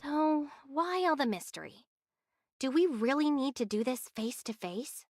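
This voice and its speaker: A voice actress playing an earnest young heroine in an anime game, speaking in a direct, inquisitive way. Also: female voice, high voice, animated, moderate pace, dry recording. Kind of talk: scripted anime game voice acting.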